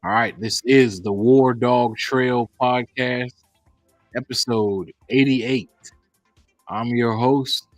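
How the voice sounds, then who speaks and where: A man hosting a video podcast, with a mid-pitched voice recorded dry and close. He reads announcements in an upbeat, announcer-like way, then drops into easy, slangy chat, with faint music behind him. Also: no room sound. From United States. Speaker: podcast host.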